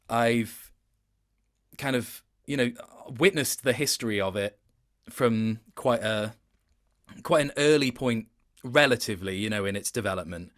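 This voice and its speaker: A man's voice: mid-range voice, a bit bright. Reflective male voice actor in casual interview. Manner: reflective, self-correcting, halting phrasing. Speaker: interview guest, video game voice actor.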